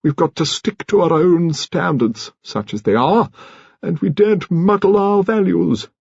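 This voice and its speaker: A British man voicing a dignified, upper-class old lady in an audiobook, proud and resigned, with slow, emphatic delivery. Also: high voice, vocal fry, darkish tone, husky, no room sound, lo-fi sound, pitched above the performer's own voice, heavily acted. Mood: grave, resolute.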